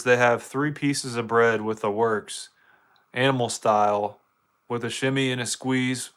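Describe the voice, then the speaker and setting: A man's voice: medium pitch, fairly bright tone, a little hiss or hum. An American man chatting casually with viewers on a live game stream, laid-back, slangy and joking.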